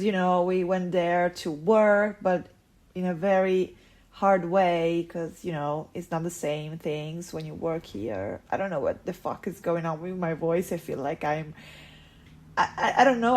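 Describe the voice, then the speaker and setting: Young woman, husky low voice, slow halting casual monologue, frank. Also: darkish tone. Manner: halting, full of fillers and restarts, frank and swearing. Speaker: podcast host.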